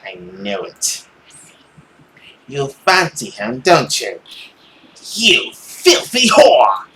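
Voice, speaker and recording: A menacing mother, put on by a man haunts her daughter with scorn. The delivery is animated, accusing and commanding, with drawn-out words. Faint noise sits behind it. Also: medium pitch, heavily acted. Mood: scornful.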